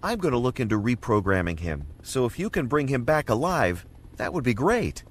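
A man's voice: mid-range voice, very animated, normal speed. A man voicing a brainy, helpful airplane who is the team's gadget expert in a children's cartoon. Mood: matter-of-fact. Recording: music behind the voice.

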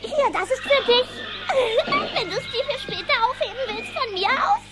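A woman's voice: very high voice, big pitch swings, a little slow, music in the background, full character voice. A woman voicing a chatty, eager, friendly little girl duckling in a children's cartoon radio play. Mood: chatty, cheerful.

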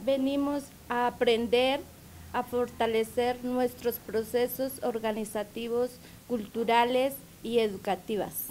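A woman's voice: a little high, steady tone, unhurried, darkish tone, slight background noise. A woman at a workshop speaking briefly and directly. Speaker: workshop participant.

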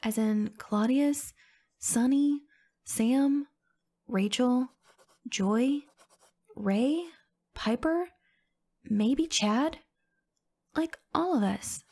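Woman playing a sociable, higher-voiced schoolgirl. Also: very slow, pauses often, a little mellow, heavily acted. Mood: eager. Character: sociable, friendly teenage schoolgirl. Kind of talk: amateur audio drama acting.

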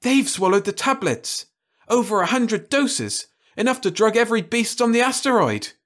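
A man doing a calm woman astronaut. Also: a little high, moderate pace, voice pushed up. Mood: excited. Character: calm, earnest woman astronaut stranded with husband. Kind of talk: storybook reading.

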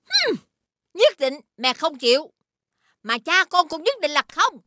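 Strict cartoon mother, very high, forceful, scolding delivery. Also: big pitch swings, measured pace, full character voice. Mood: stern, scolding. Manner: scolding, exclamatory. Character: strict, disapproving mother of a rich young man. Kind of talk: animated fairy-tale dubbing.

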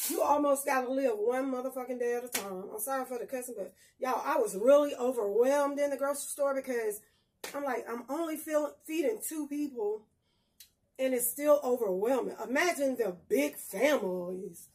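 A woman's voice: sits high, noisy background. Blunt, chatty woman in a kitchen. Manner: chatty, blunt, cusses freely, addresses viewers as y'all. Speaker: vlogger. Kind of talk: casual grocery haul vlog. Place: small home kitchen. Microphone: phone camera a few feet away.

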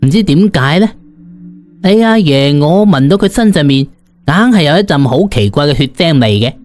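A man's voice: on the high side, lively intonation, normal speed, a little mellow, faint music behind, lightly acted. A man voicing an arrogant, scornful ancient immortal in a storybook, boastful and impatient. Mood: puzzled, serious. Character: arrogant ancient immortal sorcerer calling himself grandpa, scornful. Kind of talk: Cantonese audiobook character voice.

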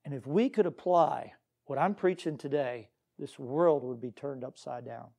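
A man's voice: mid-range voice, normal speed, vocal fry, warm tone. A man preaching as a pastor, earnest and exhorting, reading scripture and putting questions to his listeners. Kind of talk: Baptist sermon with scripture reading.

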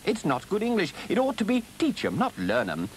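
Male storybook rat, played by a man: fussy, precise, excitable, raised pitch, lilting widely, light hiss. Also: normal speed, low-quality recording. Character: anxious, fussy, busy male water rat. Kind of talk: animal storybook character voice.

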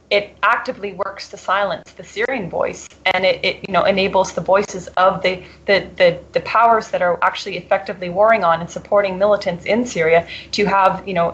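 A woman's voice: mid-range voice, fairly bright tone. Earnest woman guest, dull lo-fi audio. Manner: earnest, frequent "you know" fillers and restarts. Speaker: interview guest reporting from abroad. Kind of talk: interview answers.

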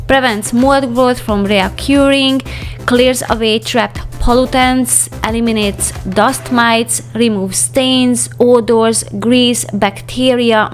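Higher-pitched woman narrating over music. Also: fairly even intonation, slow pace. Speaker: home vlogger narrating a cleaning routine. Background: background music.

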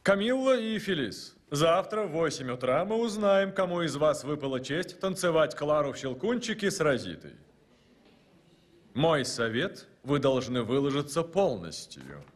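A man's voice: on the high side, very animated, moderate pace. A man voicing an exacting ballet master with a formal, commanding manner in a dubbed cartoon. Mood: solemn, strict. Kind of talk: dubbed animated film dialogue.

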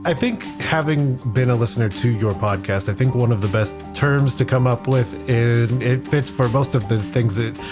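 A man speaking as a podcast guest, explaining his thoughts casually in a thoughtful, measured way. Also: medium pitch, over music. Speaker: podcast guest, content creator.

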